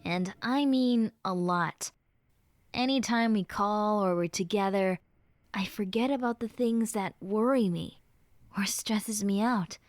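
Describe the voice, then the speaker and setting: A woman's voice: medium pitch, slow pace, no echo, clean studio sound, lightly acted. A woman playing a stressed, caring young best friend, venting earnestly and intimately to the listener in a conversational audio roleplay. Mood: softer, grateful. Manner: conversational, sighing, rambling, earnest.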